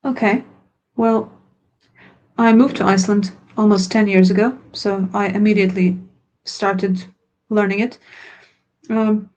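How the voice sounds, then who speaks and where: A woman's voice: medium pitch, darkish tone, poor audio. A woman whose first language is Croatian, a language teacher answering questions in a webinar in an earnest, plain way. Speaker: language teacher and product founder.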